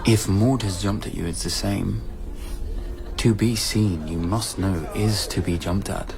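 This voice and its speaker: Low-voiced, worldly lord. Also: male voice, a little slow, creaky. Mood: knowing, seductive. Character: worldly, teasing English lord in London society. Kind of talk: radio drama dialogue.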